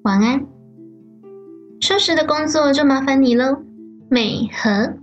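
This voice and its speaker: A woman's voice: sits high, slow. A woman playing a sly, menacing mistress of a house in an audio drama, mocking and commanding in a mock-sweet way. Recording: background music under the voice.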